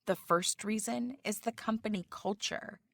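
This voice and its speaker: A woman reading the applicant's part in a scripted practice job interview, with careful, deliberate delivery. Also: mid-range voice, creaky, dry recording, clean studio sound.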